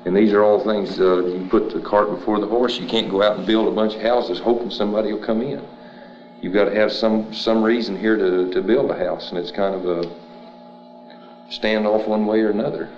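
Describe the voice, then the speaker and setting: Middle-aged American man, very low voice, unscripted interview, echoey old film sound. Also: fairly even intonation, fairly quick, lo-fi sound. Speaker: small-town interviewee. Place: small panelled office, indoors.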